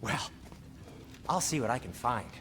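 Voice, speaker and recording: A fussy, courteous craftsman played by a man with a lively, varied delivery at middling pitch. He is precise and drily sardonic, over game music. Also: a little slow, natural voice. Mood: polite, bemused. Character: polite, fussy, hospitable male craftsman, gently sardonic. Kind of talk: animated video game acting.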